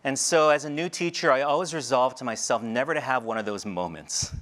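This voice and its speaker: A middle-aged teacher telling personal stories in a talk on a large indoor stage, shifting between quiet reflective moments and light humor. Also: male voice, medium pitch, fairly quick. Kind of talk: storytelling keynote talk. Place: large stage before a seated audience, indoors. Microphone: headset mic.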